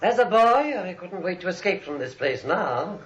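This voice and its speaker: A man playing a sinister long-lost nephew in a 1940s radio drama, speaking in a grandiose, deliberate way. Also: high voice, very animated, fairly bright tone. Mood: grandly ominous. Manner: slow, grandiose, deliberate speech. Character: sinister, menacing long-lost nephew returning home, a criminal. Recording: dull old radio drama recording.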